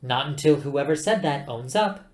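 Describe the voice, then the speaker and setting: A man's voice: fairly high pitch, expressive, normal speed, close to an everyday voice. A man voicing a fussy, motherly female ship computer with a bossy, sing-song manner. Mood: stern. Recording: roomy echo.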